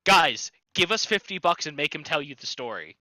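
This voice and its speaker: A man's voice: on the high side, big pitch swings, moderate pace, bright, forceful tone, no room sound, low-quality recording. A man co-hosting a fanfiction-reading stream, teasing and exasperated in casual banter.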